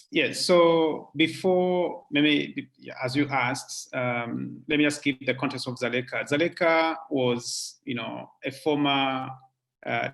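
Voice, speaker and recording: A man speaking as a guest on a panel over a video call, measured, with pauses and fillers. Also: mid-range voice, creaky, a bit bright, poor audio.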